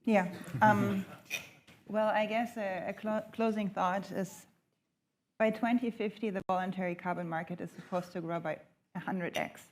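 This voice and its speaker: A woman's voice: medium pitch, steady tone, moderate pace. A woman speaking as a panelist, making emphatic points in short listed phrases. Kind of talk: panel discussion remarks.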